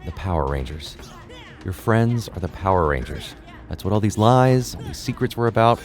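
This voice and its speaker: A man's voice: sits low, animated, normal speed, darkish tone, faint music behind. An actor playing a shocked, protective middle-aged father in an audio drama, agitated and stammering. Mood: stunned.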